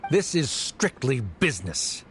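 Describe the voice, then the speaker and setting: Stern middle-aged bureaucrat, game role: formal, condescending, mid-pitched with lively inflection. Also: male voice, unhurried, soft background music. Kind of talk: video game voice acting.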